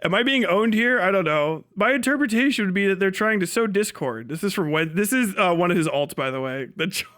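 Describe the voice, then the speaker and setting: A man's voice: high-pitched, lively intonation, fairly quick, few pauses, a bit bright. A man hosting a livestream, reacting to a video with casual, profane, sarcastic and giggly commentary. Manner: mocking, sarcastic. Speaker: livestream host.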